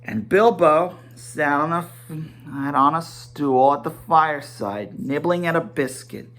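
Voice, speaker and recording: A man giving a dramatic storybook reading, halting at times and correcting himself as he narrates. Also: a little high, fairly bright tone. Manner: halting, stumbling over words and correcting himself.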